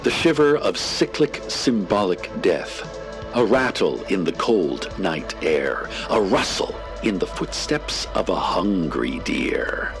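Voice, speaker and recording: Portentous radio host, deep, theatrical swings in pitch, deliberate, poetic, music behind. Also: male voice, big pitch swings, full character voice. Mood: ominous, solemn. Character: ominous, poetic small-town community radio host. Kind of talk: scripted dramatic radio narration.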